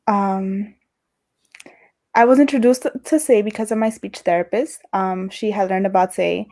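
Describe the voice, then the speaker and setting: A woman's voice: medium pitch, normal speed, dark tone. A young woman who stutters, repeating and breaking off words as she talks about her own experience in a casual interview. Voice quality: stutters, with repetitions and broken-off words. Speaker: interview guest. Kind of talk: casual conversation over a livestream.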